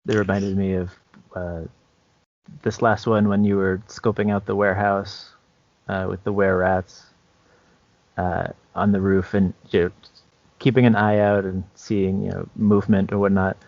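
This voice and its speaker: A man co-hosting a podcast about tabletop games, talking casually in a halting, conversational way with a gravelly voice. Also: deep voice, little pitch movement, a little mellow, no echo, lo-fi sound. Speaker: podcast co-host and game master.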